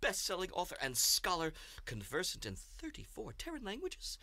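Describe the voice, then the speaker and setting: A man's voice: high-pitched, huge pitch swings, moderate pace, pushed and bright, no room sound, full character voice. A man playing a nervous, gushing young superfan from another planet in a comedic audio drama. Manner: hesitant, gushing, obsequious.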